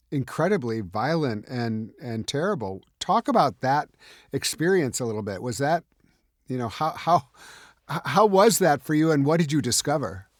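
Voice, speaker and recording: Slightly high-voiced male podcast host. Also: no room sound, clean studio sound. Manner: halting, searching phrasing. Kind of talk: interview questions.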